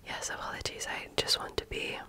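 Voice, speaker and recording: A woman whispering softly and breathily in an ASMR medical exam role-play, speaking gently and attentively like an examiner. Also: brisk.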